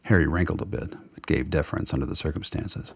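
A man narrating a scripted radio drama in a measured, wry storytelling manner. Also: extremely low pitch, vocal fry, slightly rough.

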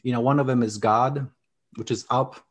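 A man's voice: medium pitch, steady tone, normal speed. A man whose first language is Polish, speaking English as an interview guest, earnest and full of restarts. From Poland. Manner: earnest, many restarts and fillers. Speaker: guest author. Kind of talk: conversational interview.

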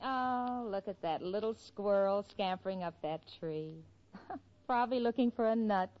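An actress playing a level-headed, wisecracking young roommate in a 1940s radio sitcom, breezy and wry. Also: female voice, medium pitch, unhurried, lightly acted. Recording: dull, noisy 1940s radio recording.

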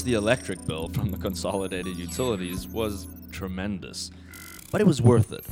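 A man playing the solemn narrator of a radio play, with measured, evocative delivery. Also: low voice, natural voice. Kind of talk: scripted dramatic narration.

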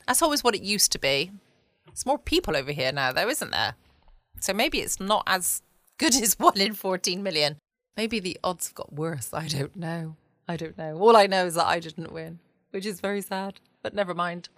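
Bright, chatty southern English woman. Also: on the low side, lively intonation, normal speed, dry recording, studio quality. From United Kingdom. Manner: chatty, rambling, self-joking. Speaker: podcast host. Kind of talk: casual late-night chat.

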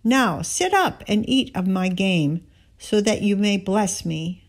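A woman giving a scripted Bible reading, narrating and voicing the dialogue in deliberate, measured phrasing. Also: medium pitch, expressive. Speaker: scripture reader.

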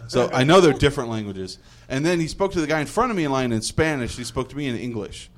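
A young man hosting a podcast, chatting casually in a halting, rambling way with many restarts. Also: medium pitch, lively intonation. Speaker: podcast host.

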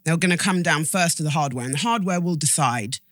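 A woman's voice: deep voice, a little fast, fairly bright tone, no echo, studio quality. A woman co-hosting a podcast, explaining things informally and emphatically, repeating phrases and checking that listeners follow. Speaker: podcast co-host. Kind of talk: casual explanatory chat.

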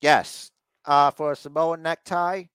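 Male co-host, slightly high, smooth voice, dry close mic, rambling and congested. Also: steady tone, a little slow, fairly bright tone, no echo. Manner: rambling, puts on mocking voices for quotes. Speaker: podcast co-host recapping wrestling. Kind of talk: casual chat.

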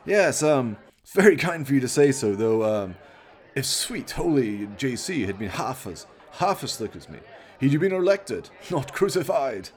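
A man's voice: medium pitch, sing-song, moderate pace, pitched above the performer's own voice, close to an everyday voice. A man doing a stammering, smarmy politician in his sixties. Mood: smug. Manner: hesitant, stammering, self-important speechifying.

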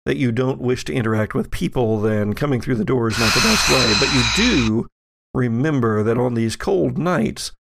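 A man's voice: medium pitch, moderate pace, vocal fry, very noisy. A man narrating an improvised tabletop role-playing game as game master, piecing descriptions together hesitantly.

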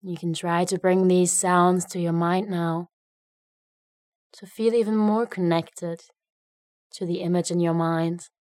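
A woman leads a guided meditation at a very slow pace, with long pauses between short phrases. Her voice sits slightly low and she speaks gently. The recording is dry and close, with little room sound. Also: level tone, no echo.

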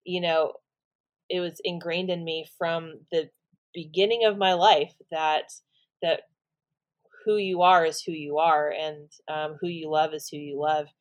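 Low-voiced woman from San Francisco chatting. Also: level tone, a little slow. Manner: agreeable, personal, some fillers. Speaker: podcast co-host. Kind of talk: casual discussion.

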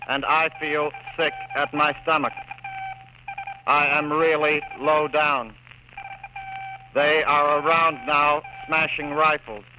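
Guy playing worn out despairing army radio operator in old radio drama, slow monotone clipped bits, lots of noise and beeping. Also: fairly high pitch. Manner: halting, clipped, monotone phrases. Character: exhausted, despairing young army radio operator under siege. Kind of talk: slow dramatic reading of coded radio messages. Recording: noisy old radio with code beeps.

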